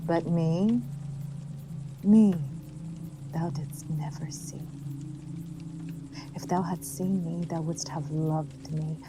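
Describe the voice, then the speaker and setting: A woman's voice: low-pitched, steady tone, slow, pauses often, background noise. A woman playing an obsessive, lovestruck young princess, cruel and bereft, in a theatrical classical audio drama. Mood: desperate longing.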